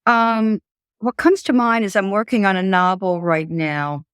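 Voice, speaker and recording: An older woman being interviewed, talking reflectively and haltingly, repeating phrases as she searches for words. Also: mid-range voice, steady tone, a bit bright, smooth, dry recording, studio quality. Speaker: interview guest, author and psychologist. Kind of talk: reflective personal conversation.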